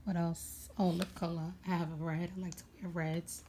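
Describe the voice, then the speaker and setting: A young woman with a raspy voice chatting casually at home, rambling with many fillers as she goes through her makeup bag. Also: lowish voice, little pitch movement, moderate pace, mellow, a little hiss or hum. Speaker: beauty vlogger.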